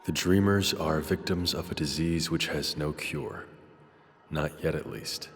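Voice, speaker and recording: An actor playing a brooding, philosophical detective in a scripted future noir drama, speaking in measured, formal phrases. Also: male voice, a bass voice, steady tone, a bit bright, a little hiss or hum. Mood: somber, reflective. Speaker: detective character and narrator. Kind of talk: scripted noir drama dialogue and reflective monologue. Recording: long reverb, music behind.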